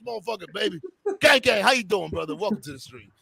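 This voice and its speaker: A man hosting a live stream, loud and playful, shouting catchphrases and laughing between comments. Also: high voice, wildly swooping pitch, fast, gravelly, a loud crowd. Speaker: stream host.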